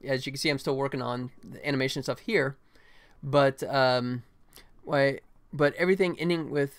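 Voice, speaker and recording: A man's voice: mid-range voice, fairly even intonation, normal speed. An American man from Atlanta hosting a casual livestream, chatting informally with viewers as he works on his game. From United States, Atlanta. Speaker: game developer and livestream host. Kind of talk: casual livestream chat while working.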